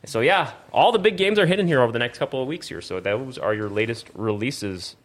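A man with a medium-pitched voice hosts a casual gaming podcast. He rambles along informally, tripping on words and fixing them as he goes. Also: lively intonation, brisk. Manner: rambling, stumbles over words and corrects himself. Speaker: podcast host. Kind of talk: casual video game talk show with plugs and sponsor reads.

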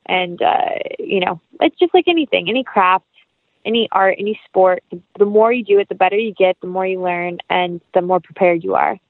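A woman's voice: mid-range voice, normal speed, no echo. A woman speaking casually as an interview guest, earnest and upbeat, over a tinny phone-like connection. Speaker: interview guest, actress and producer.

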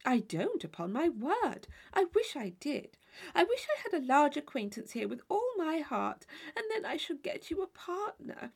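A woman voicing a placid, fussy married gentlewoman in an audiobook, chattering in genteel exclamations. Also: high-pitched, very animated, moderate pace, gravelly, voice pushed up, full character voice.

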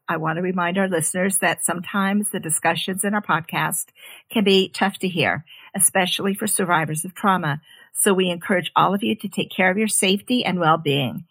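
Co-host, woman, husky and lowish, measured delivery. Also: fairly bright tone. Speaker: podcast co-host. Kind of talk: reading a content warning.

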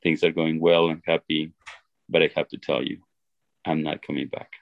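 A deep, gravelly-voiced man from Ecuador with an Ecuadorian Spanish accent, recorded close and dry. He is middle-aged and talks through his story in an earnest, halting way, often restarting his sentences. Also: level tone, dry recording, muffled recording. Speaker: interview guest. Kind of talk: reflective personal storytelling.

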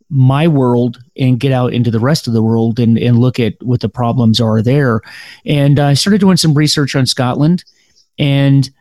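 A man's voice: medium pitch, a little mellow, dry recording. An American man hosting a podcast interview. He rambles a little, with lots of fillers and restarts. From United States. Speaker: podcast host. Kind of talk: casual interview.

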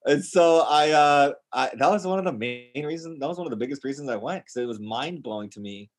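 A man's voice: a little high, animated, a little fast. Enthusiastic American man chatting casually. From United States, north of Chicago. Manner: enthusiastic, informal, emphatic repetition. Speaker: podcast guest, Greek Orthodox priest. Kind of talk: casual interview chat.

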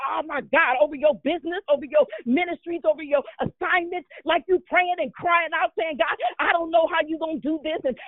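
High-pitched woman preacher on thin phone-like audio. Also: dry recording. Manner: exhortatory, repeats words and phrases for emphasis; punctuates speech with devotional exclamations.

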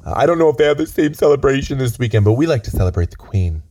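A man, raspy from a chest cold, rambling earnestly and stammering through deadpan comedy chat outdoors on a lookout. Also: mid-range voice, rapid-fire, a little hiss or hum. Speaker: comedy co-host.

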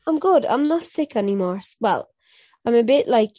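A young woman co-hosting a casual podcast, her voice mellow and a little stuffed up from a cold. She rambles along with plenty of "like" and "um". The sound is dry and close. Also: a little high, expressive, normal speed, no echo. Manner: chatty, rambling with fillers like "like" and "um". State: stuffy, blocked nose. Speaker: podcast co-host. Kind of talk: casual chat.

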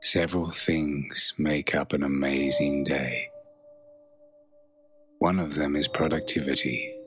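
A man narrating a guided meditation in a soothing, measured way, leaving long pauses between phrases. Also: rumbling low, bright, forceful tone.

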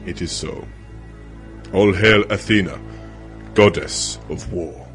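A man's voice: low voice, slow pace, a bit bright, faint music behind. A man playing a solemn, pious village leader in an audio drama, speaking formally and declaiming. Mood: reverent.

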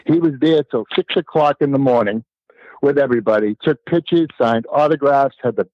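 A man chatting casually as an interview guest, telling anecdotes in a halting way that repeats and rephrases. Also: mid-range voice, no echo, dull audio. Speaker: DJ and former record promoter, interview guest.

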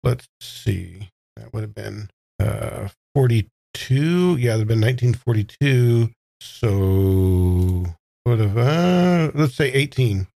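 A man playing an uncertain old man reborn in a young body, speaking haltingly with repeated words in an improvised audio drama. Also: on the low side, expressive, creaky, mellow, heavily acted. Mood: musing, muddled.

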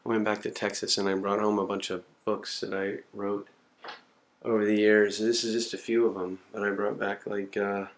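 A man in his forties talks to his home camcorder in a rambling, self-mocking way, swearing freely. His voice sits low. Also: level tone, moderate pace. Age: 40s. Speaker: video diarist. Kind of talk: casual self-recorded monologue.

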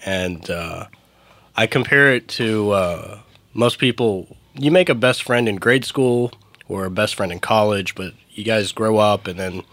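A young man in his twenties talks loosely on a podcast, with easy laughs and fillers. His low voice sits close and dry on the mic. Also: a bit bright, dry recording. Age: 20s. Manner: easygoing, laughing, many fillers. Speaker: podcast co-host. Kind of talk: casual conversational podcast.

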